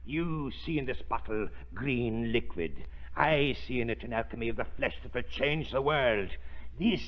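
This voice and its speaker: An actor playing a gloating, obsessed mad surgeon in 1930s radio drama, theatrical and menacing. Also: male voice, medium pitch, expressive, moderate pace, close to an everyday voice. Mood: manic, bitter. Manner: theatrical, gloating, crooning menace with cackling laughter. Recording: muffled vintage 1930s radio recording.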